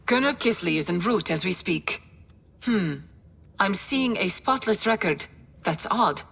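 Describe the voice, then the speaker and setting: Husky gravelly female adviser, dry, matter-of-fact, mid pitch. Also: fairly bright tone, exaggerated voice. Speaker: adviser briefing a general. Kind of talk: video game cutscene acting.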